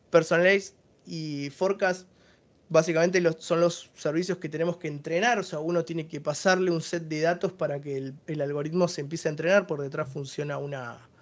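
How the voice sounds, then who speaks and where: A man with an Argentine accent giving a technical webinar presentation, explaining each service step by step. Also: on the high side.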